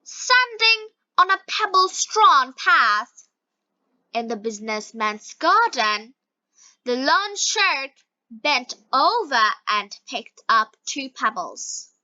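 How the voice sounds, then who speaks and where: A woman reading scripted story narration for language learners, word by word with frequent pauses. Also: sits high, sing-song, sharp tone, no echo, muffled recording.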